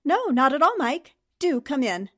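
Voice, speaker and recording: A woman's voice: sits high, big pitch swings, moderate pace, a bit bright. A woman playing a polite, good-natured newspaperwoman with a genteel manner, in 1940s radio drama style. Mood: friendly.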